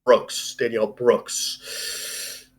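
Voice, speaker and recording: A man's voice: mid-range voice, little pitch movement, very slow, husky. A man hosting a live-streamed film discussion in an informal, rambling way with some swearing. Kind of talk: casual chat, film review.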